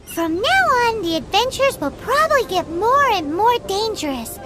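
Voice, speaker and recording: A woman voicing a tiny, childlike, excitable female fairy companion in a video game, over music. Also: extremely high pitch, moderate pace, exaggerated voice. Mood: chirpy, curious. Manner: speaks of herself in the third person.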